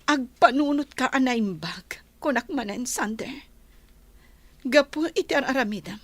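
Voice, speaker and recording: A woman's voice: high-pitched, animated, measured pace, dry recording. A woman playing a firm, scolding wife in an Ilocano radio drama, earnest and admonishing. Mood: reproachful. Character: firm, reproachful wife confronting her wayward husband.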